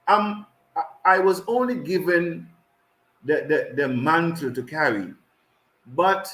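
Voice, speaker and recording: A man hosts an online community call, reading viewers' greetings aloud one by one. He halts over unfamiliar names and laughs often. His voice sits a little high and carries a roomy echo. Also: a bit bright. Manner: reads names haltingly with frequent laughs.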